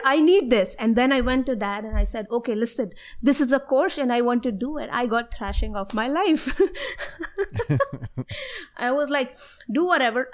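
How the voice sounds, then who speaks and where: A woman in her thirties from India, speaking English with an Indian accent, whose first language is Hindi, telling her life story as an interview guest in an earnest, animated way. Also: a little high. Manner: earnest and animated, laughs often while telling stories.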